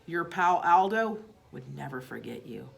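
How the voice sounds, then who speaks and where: A woman's voice: low-pitched, big pitch swings, moderate pace, gravelly, heavily acted. A woman voicing an affectionate, chatty, lonely male night cleaner in a children's storybook. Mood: fond, cheerful. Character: friendly lonely man, night cleaner fond of a hamster.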